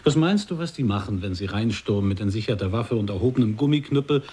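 A man's voice: fairly low pitch, animated, fast talker, dark tone, slightly rough. An actor playing a dry, boastful man who tells absurd tales in colloquial, matter-of-fact dialogue for a radio play. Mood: sly, assured.

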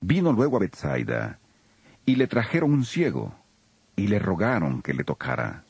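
A man's voice: deep voice, huge pitch swings, moderate pace, vocal fry, slightly rough, dry recording. A man narrating a dramatized Bible in a solemn storytelling style. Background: soft music.

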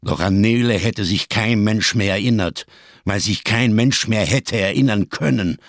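An actor in a radio crime drama playing a giant, scarred, world-weary survivor who speaks in grand, bitterly ironic phrases. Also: male voice, lowish voice, dry recording, studio quality. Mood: sardonic, grave. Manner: grandiose, bitterly ironic, slow rhetorical delivery.

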